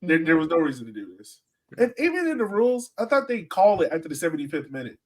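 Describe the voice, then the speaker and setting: A man's voice: a little high, wildly swooping pitch, quick pace, recorded from a distance. A man co-hosting a sports podcast, chatting casually and telling stories in slangy, acted-out quotes. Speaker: podcast co-host.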